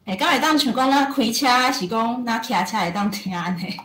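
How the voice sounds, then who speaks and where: A woman with a Taiwanese accent asking casual, halting questions as a participant in an online class. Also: on the high side, animated, a bit bright. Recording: echoey online class audio.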